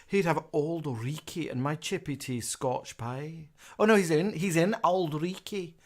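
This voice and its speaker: A man's voice: a little high, a little slow. A man from the UK co-hosting a casual live stream, chatting and reading out viewers' comments with a laugh.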